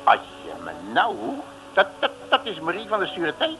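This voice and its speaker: Blustering detective, lively pitch. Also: male voice. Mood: grumbling, puzzled. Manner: colloquial, muttering, halting with many hesitations. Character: blustering, grumbling Dutch male detective abroad. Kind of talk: 1950s-60s radio comedy serial acting. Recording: noisy vintage radio recording.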